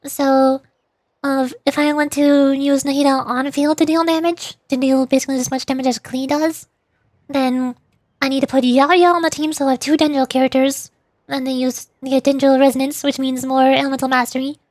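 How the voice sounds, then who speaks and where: A woman's voice: sits high, level tone, moderate pace, darkish tone, no echo. A woman streaming a video game and chatting casually through gameplay commentary. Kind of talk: casual gaming livestream commentary.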